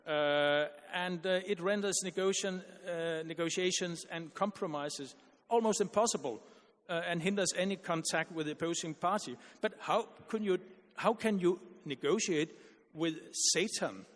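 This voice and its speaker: Halting man speaking in a reverberant hall. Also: high voice. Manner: halting, with frequent restarts and repeated words. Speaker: conference panelist. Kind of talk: conference panel speech.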